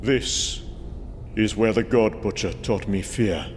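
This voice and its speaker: An actor playing an immortal Norse god of thunder, brooding and proud, with solemn archaic phrasing in a dramatic audio drama. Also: male voice, on the low side, slow, a little hiss or hum. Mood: solemn, haunted. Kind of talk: dramatic comic-book audio drama narration and dialogue. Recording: long reverb, music underneath. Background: music.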